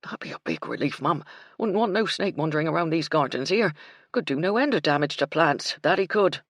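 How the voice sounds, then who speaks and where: A woman voicing a humble male groundskeeper with rough country grammar, deferential in manner. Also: deep voice, expressive, brisk, no echo, studio quality. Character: rustic humble middle-aged male groundskeeper.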